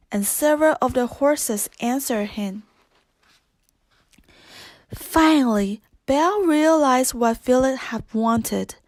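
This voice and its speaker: High-pitched woman narrating a children's story. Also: dry recording. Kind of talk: children's read-along narration.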